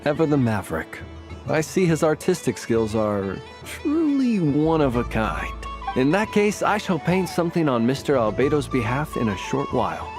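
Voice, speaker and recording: A man's voice: medium pitch, very animated, moderate pace, faint music behind, natural voice. A man voicing a courteous, whimsical talking lantern in a video game, speaking in a formal, gracious, theatrical way. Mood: amused, gracious.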